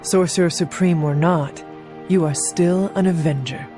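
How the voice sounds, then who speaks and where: A woman's voice: fairly low pitch, fairly even intonation, unhurried, music in the background, lightly acted. Earnest, formal warrior heroine voiced by a woman. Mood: supportive. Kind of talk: video game cutscene acting.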